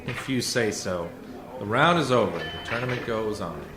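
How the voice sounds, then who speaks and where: A man's voice: on the low side, animated, moderate pace, over music, close to an everyday voice. A man acting in a radio play as a blunt, self-assured civilian interrogator and psychologist who talks in a dry, cutting way. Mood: dry, conciliatory. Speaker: actor.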